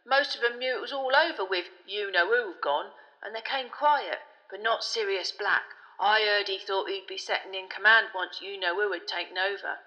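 A woman's voice: mid-range voice, normal speed, few pauses, bright, forceful tone, long reverb tail, highs cut off, natural voice. A woman voicing a chatty, easily spooked young male bus conductor in an audiobook, with colloquial speech and dramatic tag questions. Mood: gossipy. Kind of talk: audiobook character voice.

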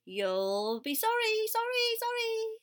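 A woman voicing a frantic, bossy goose in a storybook, urgently shouting orders and repeating her phrases. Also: mid-range voice, huge pitch swings, unhurried. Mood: warning. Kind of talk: animated storybook character voice.

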